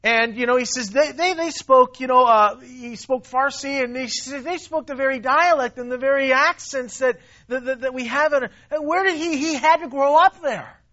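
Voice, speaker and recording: A man preaching a sermon to a congregation, emphatic and repetitive, swinging between loud stresses and quiet asides. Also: very high-pitched, normal speed, few pauses, muffled recording. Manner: emphatic, repeats himself, rises and drops in volume. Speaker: pastor.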